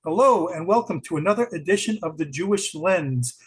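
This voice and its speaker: A man's voice: a little high, lively intonation, fairly quick, over music. A man hosting a podcast interview, eager and laughing often. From Brooklyn, New York. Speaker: podcast host.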